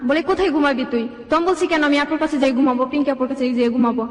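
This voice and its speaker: A woman's voice: sits high, very fast. A young woman telling her life story conversationally in long run-on detail, quoting dialogue, in a reverberant recording. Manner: run-on, detailed retelling with reported speech. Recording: echoey, reverberant sound.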